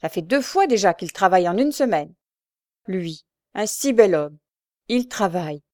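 A woman voicing a harsh, coarse old beggar mother from a story, shrill and snarling in her lower-class speech. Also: expressive, normal speed, a little mellow, no echo, clean studio sound, natural voice. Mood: proud. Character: shrewish old beggar mother, toothless, harsh, brandy-soaked.

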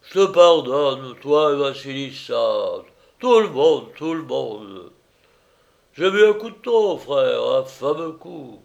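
A drunken master of the house, pitched up and loud. He stammers through slurred consonants, swinging between maudlin self-pity and mock dignity as he plays the magnanimous lord. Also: very animated, slow, creaky, lo-fi sound, heavily acted. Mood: grandly forgiving.

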